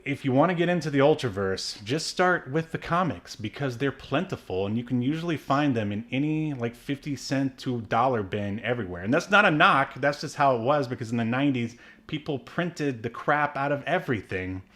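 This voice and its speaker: A man's voice: medium pitch, expressive, a little fast, hardly any pauses, fairly bright tone. Rambling, filler-heavy man co-hosting. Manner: rambling, many fillers and restarts. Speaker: podcast co-host. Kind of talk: casual chat.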